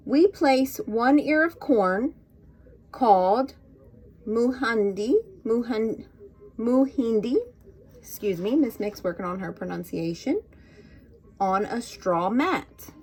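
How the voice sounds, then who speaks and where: A woman leading a children's storytime with a fairly high voice that swings widely in pitch. She reads very slowly and expressively, in a sing-song, encouraging teacher's manner, inviting little listeners to join in. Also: expressive, darkish tone, breathy edge, poor audio. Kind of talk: interactive read-aloud to young children.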